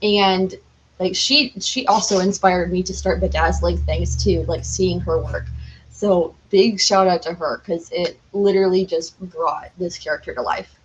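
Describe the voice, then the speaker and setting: A woman's voice: fairly low pitch, fairly even intonation, unhurried, a little hiss or hum, low-quality recording. A young woman chatting casually and enthusiastically as a podcast guest, rambling and saying "like" often. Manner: enthusiastic, rambling, heavy use of "like".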